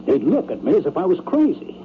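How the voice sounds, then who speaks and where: An actor playing a blunt, uneasy rich man in an old-time radio drama, with halting, defensive, streetwise speech. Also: high voice, huge pitch swings. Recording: tinny, noisy old radio broadcast.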